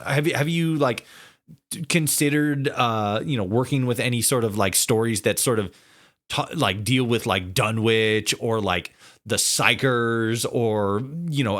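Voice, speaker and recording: Halting male podcast host, mid-pitched. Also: fairly bright tone. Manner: halting, hesitant, circling phrasing full of repeats. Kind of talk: casual interview chat.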